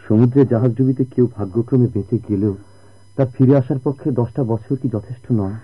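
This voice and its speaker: A man with a Bangladeshi accent playing a kind, patient, devoted family friend in a radio drama, speaking earnestly in formal, measured diction. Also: mid-range voice, husky, natural voice. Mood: grave, urging. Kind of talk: Bengali radio drama acting. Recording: muffled old radio drama recording.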